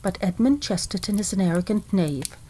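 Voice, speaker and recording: A woman's voice: mid-range voice, expressive, fairly quick, dark tone, slight background noise, lightly acted. An actress playing an earnest, heartsick young woman in love in an old-style radio play. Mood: pleading. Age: young adult. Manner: earnest, emotional pleading. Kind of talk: 1940s style radio acting.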